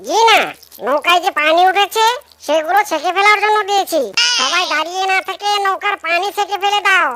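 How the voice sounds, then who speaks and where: A performer voicing an excitable male villager and tradesman in a children's cartoon, with a squeaky voice. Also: a little fast, a little hiss or hum. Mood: businesslike. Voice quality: high-pitched, squeaky.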